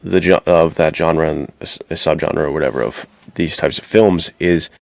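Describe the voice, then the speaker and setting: A man's voice: a bass voice, moderate pace, gravelly, dry recording. A man co-hosting a podcast in casual, slangy chat, giving his opinions. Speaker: podcast co-host.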